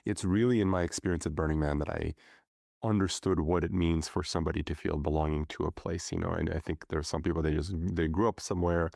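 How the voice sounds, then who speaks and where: A middle-aged man, a podcast guest who grew up speaking Russian, talking thoughtfully with many pauses and fillers. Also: low voice, creaky, a little mellow, dry recording, studio quality. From grew up in many countries. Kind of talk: reflective conversational interview.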